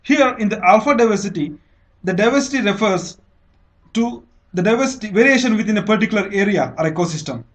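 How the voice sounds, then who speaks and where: A man with a distinctly high voice and an Indian accent speaks as a teacher. He explains step by step in a didactic way, repeating phrases and pausing to check understanding. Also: expressive. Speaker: teacher, lecturer. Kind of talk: explanatory exam-prep lecture.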